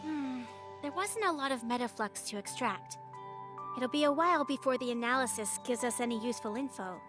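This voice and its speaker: Game drama role: young female analyst colleague, high-pitched, helpful, informative played by a woman, over music. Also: fairly quick, natural voice. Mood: upbeat, informative. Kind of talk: scripted game voice acting.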